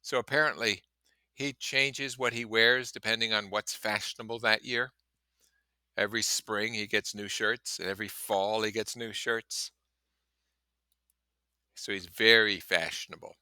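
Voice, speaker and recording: A man with a fairly low, very bright voice reads a classic story aloud. He is expressive and dramatic, and explains things casually, in a dry, close recording. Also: a little slow, pauses often, smooth, dry recording. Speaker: reader and commentator. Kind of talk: expressive audiobook-style reading with casual asides.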